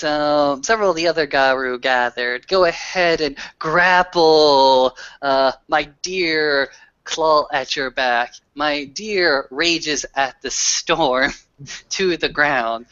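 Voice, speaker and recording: A man's voice: fairly high pitch, slow pace, sharp tone, smooth. A man telling an in-character roleplay story in a theatrical, mock-formal way peppered with swearing. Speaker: tabletop roleplay player. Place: online group voice call. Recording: dull lo-fi voice call.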